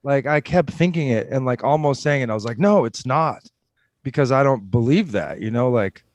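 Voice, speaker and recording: Dude on a podcast, lots of um and like, dry close sound. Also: male voice, mid-range voice, animated, no echo. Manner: halting, many fillers and restarts while telling a story. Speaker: podcast co-host. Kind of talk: casual podcast talk.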